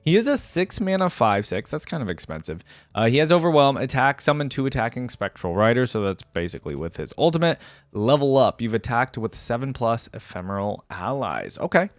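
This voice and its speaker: A man's voice: medium pitch, very animated, fairly quick, no room sound. A man hosting a reaction video in a casual, chatty way, reading things out and commenting informally. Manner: informal, reads out then comments.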